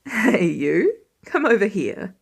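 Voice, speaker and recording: A woman's voice: mid-range voice, very animated, slow pace, gravelly, slightly rough, heavily acted. A woman voicing a tired, affectionate middle-aged father in an audiobook, with weary, sarcastic grumbling. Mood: soothing.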